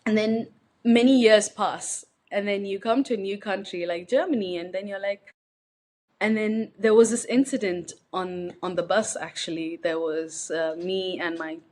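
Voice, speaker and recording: A woman's voice: medium pitch, steady tone, moderate pace, darkish tone. A young woman from India, speaking as an interview guest in a reflective, hesitant way with frequent fillers and restarts. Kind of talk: personal interview conversation.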